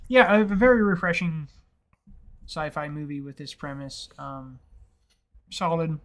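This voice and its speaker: A man's voice: fairly high pitch, measured pace. Rambling, profane man on a podcast. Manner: profane, rambling, laughs often. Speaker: podcast co-host. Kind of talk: casual film chat.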